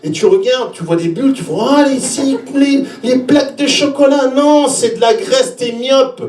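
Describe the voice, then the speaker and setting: A man's voice: very high-pitched, moderate pace, slight background noise. A man in his forties performing stand-up comedy on stage, exclaiming, acting out little scenes and talking straight to the audience. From Switzerland. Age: 40s. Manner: animated, exclamatory, acts out little scenes and reactions; talks directly to the audience. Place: small comedy venue open stage, indoors. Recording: echoey live room, distant mic.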